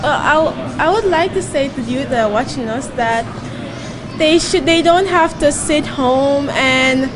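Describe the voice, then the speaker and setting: A woman's voice: high-pitched, measured pace, music in the background. A young woman from Jamaica, a volunteer answering interview questions earnestly and searching for words. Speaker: volunteer guest. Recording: noisy crowd around.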